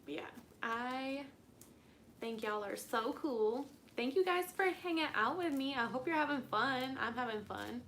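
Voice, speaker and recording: A young woman with a slightly high voice chats on a home live stream. She rambles in a bubbly, giggly way, filling her talk with "like". A faint background hiss sits under her voice. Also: lively intonation, unhurried, a bit bright. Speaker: plant channel host. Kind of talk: casual live stream chat.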